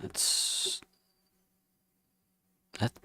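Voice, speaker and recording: A streamer talking casually while working, thinking aloud and muttering in a halting way. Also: sharp tone, no room sound, studio quality.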